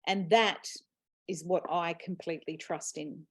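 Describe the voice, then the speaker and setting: A woman's voice: on the low side, steady tone, unhurried, husky. A woman hosting a casual, reflective conversation, talking earnestly and laughing easily. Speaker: host and author. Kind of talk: casual chat.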